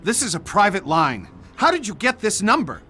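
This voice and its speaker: Game senator, proud family man under pressure, formal and commanding, very animated pitch, faint music. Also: moderate pace, exaggerated voice. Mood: tense, threatening. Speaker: senator character. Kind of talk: dramatic video game dialogue.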